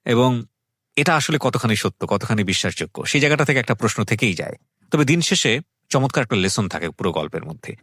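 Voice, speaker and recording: A man's voice: medium pitch, expressive, fast, a bit bright, dry recording, clean studio sound. A man hosting a radio show, speaking in a reflective, articulate way with English words mixed in. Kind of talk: radio show introduction and interviewing.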